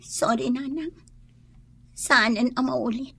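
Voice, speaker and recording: A woman's voice: fairly high pitch, slow pace, a bit bright, slightly rough, dry recording, exaggerated voice. A woman playing a wronged young mother, fiery and tearful, in an Ilocano radio drama. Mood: subdued, weary.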